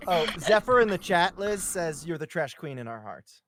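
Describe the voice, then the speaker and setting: A woman's voice: lowish voice, expressive, moderate pace, fairly bright tone, no room sound, studio quality. A woman chatting casually and joking as a table read cast member.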